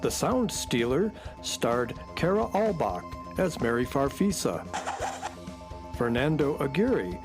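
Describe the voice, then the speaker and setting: A man's voice: medium pitch, big pitch swings, slow, over music. A man reading a scripted closing announcement as announcer for a children's radio show. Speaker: credits announcer.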